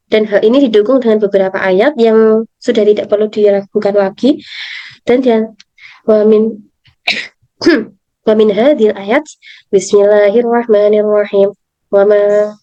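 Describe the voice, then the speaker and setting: Young female student, Indonesian accent, hoarse and soft-toned, careful recited delivery; dull, roomy recording. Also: medium pitch. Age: young adult. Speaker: university student. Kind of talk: reading aloud a study presentation. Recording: dull lo-fi, slightly echoing room.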